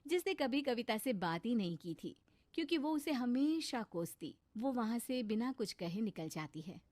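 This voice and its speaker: A woman's voice: on the high side, expressive, normal speed, a little mellow, no room sound. A woman reading scripted story narration as the narrator.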